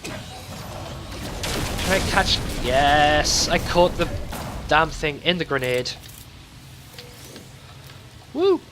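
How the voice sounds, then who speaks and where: A man's voice: fairly high pitch, drawn-out words, long pauses, noisy background. A man hosting a gaming video, talking casually and thinking aloud in a conversational let's play commentary.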